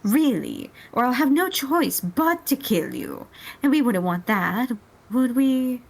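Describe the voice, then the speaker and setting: A woman's voice: on the high side, very animated, takes it slowly, vocal fry, voice pushed up, heavily acted. A woman voicing a smug, sadistic alien villain with a taunting, mock-polite manner.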